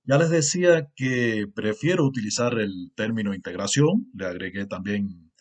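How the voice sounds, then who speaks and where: A middle-aged man with a Cuban accent speaks in a somewhat low voice. He delivers a formal academic talk at a steady, earnest pace, often pausing with fillers as he builds his points. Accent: Cuban Spanish. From Cuba. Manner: measured, earnest, with filler pauses. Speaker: university lecturer.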